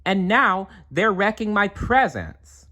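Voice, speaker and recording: Man acting a high-pitched, fed-up little girl. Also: big pitch swings, slow pace, sharp tone, full character voice. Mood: exasperated, whiny. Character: frustrated young girl fed up with twin siblings.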